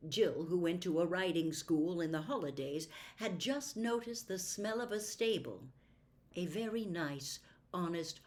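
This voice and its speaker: A woman reading a classic storybook aloud as scripted narration, in a measured, careful storytelling manner. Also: on the low side, a little mellow. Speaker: audiobook reader.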